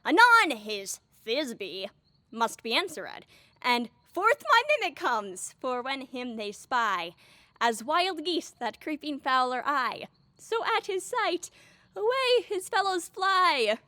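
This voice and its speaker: A woman's voice: high-pitched, sing-song, slow, pushed and bright, no echo, exaggerated voice. A woman playing a boastful, mischievous fairy sprite servant in a Shakespeare audio drama, with playful theatrical relish. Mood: gleeful, boastful. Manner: theatrical, playful, gleeful storytelling.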